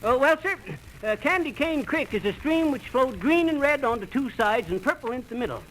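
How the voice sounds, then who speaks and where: Folksy radio comedy tall-tale teller, rambling and rustic, notably high voice, faint vintage noise. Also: male voice, expressive, a little fast, a bit bright. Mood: rambling, boastful. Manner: folksy, drawling hesitations and rustic turns of phrase. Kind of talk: 1930s radio comedy acting. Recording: noisy old radio recording.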